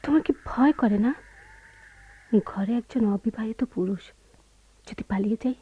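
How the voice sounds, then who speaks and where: Radio drama wife, proud, suspicious, emotional, higher-pitched, slightly muffled old recording. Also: female voice, unhurried, husky. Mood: hurt, accusing. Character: hurt, suspicious young wife, reproachful and proud. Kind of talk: Bengali radio play acting.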